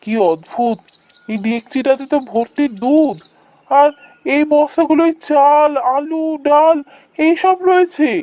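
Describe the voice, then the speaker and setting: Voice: high voice, steady tone, a little slow, warm tone. An actor plays a village husband and father in a fairy-tale cartoon. He is skeptical and grumbling. His delivery is dismissive and questioning. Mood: amazed.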